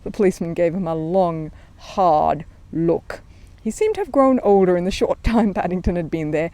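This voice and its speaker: A woman storyteller narrating a children's audiobook in an expressive style. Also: lowish voice, moderate pace, dry recording.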